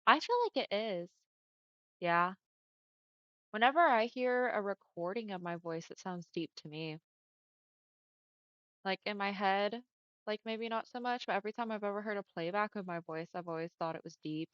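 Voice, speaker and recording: A young woman livestreaming from home and chatting with her viewers in a friendly, easygoing way, often laughing. Her voice is smooth and on the deeper side for a woman. The sound comes through a dull headset mic with a dry, close feel. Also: normal speed, long pauses, dry recording. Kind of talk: casual chat with viewers.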